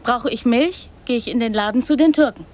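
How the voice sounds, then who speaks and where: A woman acting in a radio drama as a naive, trusting, affectionate girl who speaks in halting, simple childlike phrases. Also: a little high, a little mellow, lightly acted. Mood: chatty, proud.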